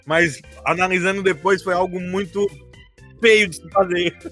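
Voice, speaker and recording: Higher-voiced Brazilian man, joking podcast chat. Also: steady tone, bright, forceful tone, faint music behind. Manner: joking, quick to laugh. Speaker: game master of a tabletop RPG campaign, podcast member. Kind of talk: casual podcast chat recapping a tabletop game.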